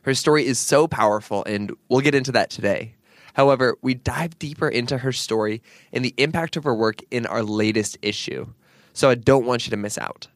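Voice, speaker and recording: A man's voice: medium pitch, no room sound. A man hosting a podcast, reading an earnest introduction and then asking questions in a casual interview. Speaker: podcast host.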